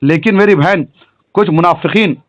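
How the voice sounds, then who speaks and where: A man's voice: sits high, normal speed. A middle-aged man with a Hyderabadi accent talking on a phone call, insistent and repetitive, addressing the listener respectfully. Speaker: local political activist.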